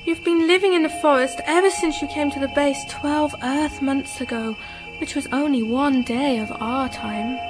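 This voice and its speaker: Actress as a high-voiced, alluring moon spirit woman. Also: fairly even intonation, lightly acted, low-quality recording. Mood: serene, mysterious. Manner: gentle, coaxing, unhurried. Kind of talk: radio drama acting. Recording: music behind the voice.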